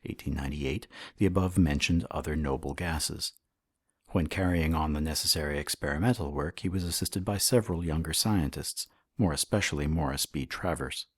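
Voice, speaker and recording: A man with a husky voice reads a historical science text as formal, measured audiobook narration. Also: very deep voice, dry recording, studio quality. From Canada. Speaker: audiobook reader.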